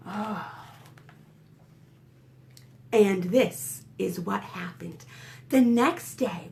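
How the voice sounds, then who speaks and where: A woman reading a picture book aloud to young children as a librarian, expressive and gently addressing her listeners with dramatic gasps and whispered asides. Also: on the high side.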